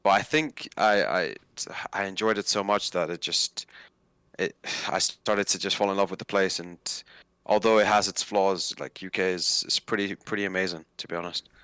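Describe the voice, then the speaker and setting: Man, twenties, from Nigeria, lowish smooth voice, dry sound, conversational interview guest. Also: fairly even intonation, moderate pace, bright, forceful tone, no room sound. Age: 20s.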